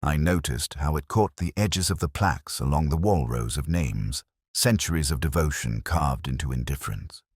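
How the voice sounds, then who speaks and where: Very deep, brooding detective narrator, voiced by a man. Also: animated, slightly rough, no room sound, clean studio sound, exaggerated voice. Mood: reflective, sombre. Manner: measured, literary, solemn delivery. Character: brooding male detective inspector, melancholy and reflective. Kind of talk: scripted first-person mystery narration.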